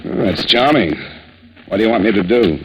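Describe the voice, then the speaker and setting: A man's voice: on the low side, expressive, moderate pace, dull audio, slight background noise, natural voice. An actor playing a wry, hard-boiled male insurance investigator with dry, sardonic delivery in a 1950s radio drama. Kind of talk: 1950s radio drama, first-person noir narration. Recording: band-limited old radio recording with music.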